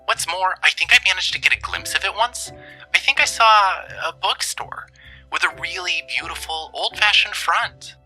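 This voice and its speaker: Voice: medium pitch, moderate pace, bright, forceful tone, faint music behind, low-quality recording. A performer playing an earnest, mystified podcast listener, confiding a strange first-person story in an audio drama.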